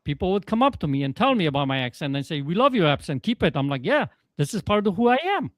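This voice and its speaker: Man, Polish accent, slightly high voice, dry close sound, emphatic coach talking. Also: very animated, fast, fairly bright tone, no echo. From Poland. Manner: emphatic, keeps asking "guess what". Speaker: guest coach. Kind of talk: casual interview talk.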